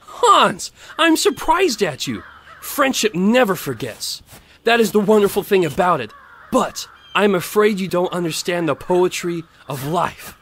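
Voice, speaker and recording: A pompous, self-righteous miller in an audio drama. His voice sits fairly high and swings widely in pitch as he moralizes with smug satisfaction. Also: very animated, unhurried, fairly bright tone, heavily acted. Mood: patronizing. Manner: pompous, sententious. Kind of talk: theatrical audio drama acting.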